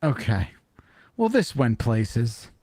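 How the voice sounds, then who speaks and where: A man hosting a podcast in casual, joking chat, animated and often incredulous. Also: medium pitch, slow, husky, no echo. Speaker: podcast host.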